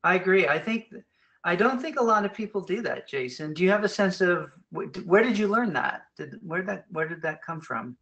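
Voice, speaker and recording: A man's voice: sits high, expressive, normal speed, bright, forceful tone, lo-fi sound. A man moderating a conference call, who hands the floor to guests and puts questions to the speaker, agreeing often with short replies. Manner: encouraging, with frequent short affirmations.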